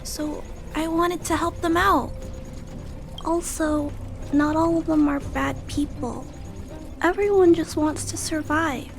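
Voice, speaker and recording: An actress voicing a gentle, polite and earnest little girl in a video game, with hesitant, careful speech. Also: high-pitched, steady tone, slow, vocal fry, music in the background, exaggerated voice. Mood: pleading. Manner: polite, soft-spoken, hesitant, careful phrasing. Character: gentle, earnest, kind-hearted little girl, shy but resolute.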